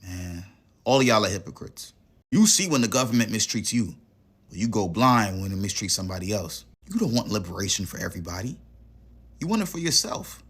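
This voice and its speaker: A man's voice: on the low side, very animated, fairly quick, a bit bright, breathy edge. A man giving a frustrated vlog monologue, scolding his audience in an exasperated way. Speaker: online commentator.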